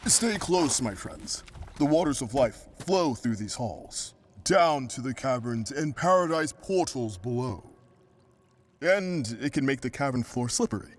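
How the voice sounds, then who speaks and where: A man's voice: mid-range voice, expressive, measured pace. A man playing a noble, courteous ancient ghost guide in an audio drama, speaking in grave, formal, archaic phrases. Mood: solemn warning. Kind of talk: audio drama character acting.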